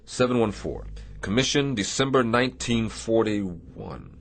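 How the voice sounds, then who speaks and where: A man playing a commanding Navy destroyer captain in a radio drama, measured and dryly wry. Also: lowish voice, lively intonation, creaky, slight background noise. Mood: grave.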